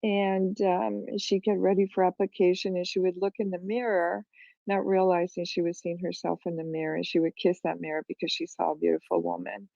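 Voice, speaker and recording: Middle-aged woman from Peru, gravelly and mellow, reflective guest on a dull lo-fi call. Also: fairly low pitch, level tone, no echo. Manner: reflective, unhurried, with many fillers and restarts. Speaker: guest, beauty brand founder. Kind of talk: conversational interview on a live audio chat. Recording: dull lo-fi online audio call.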